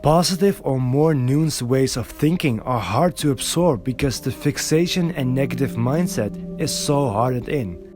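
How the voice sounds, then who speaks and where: A man's voice: mid-range voice, a little mellow. A man reading a scripted voiceover for a video essay in an earnest, reflective way, recorded alone.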